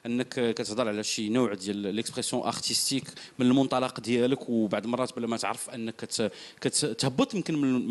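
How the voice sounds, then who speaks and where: Moderator: man, very fast talker, code-switches between Arabic and French. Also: medium pitch. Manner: rapid, mixes Moroccan Arabic and French. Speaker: panel moderator. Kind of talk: moderating a panel.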